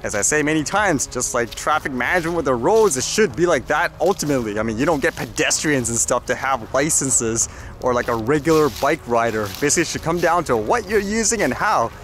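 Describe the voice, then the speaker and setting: A man's voice: fairly high pitch, animated, a little fast, a bit bright, soft background music. A man giving casual, off-the-cuff commentary in a rambling, conversational way, as a vlogger would.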